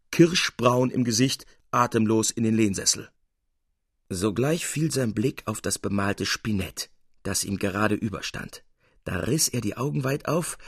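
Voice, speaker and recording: Leicht rauer Erzähler, trockene Aufnahme. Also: male voice, medium pitch, breathy edge, no echo, clean studio sound. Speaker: literary narrator. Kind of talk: scripted narration, expressive audiobook reading.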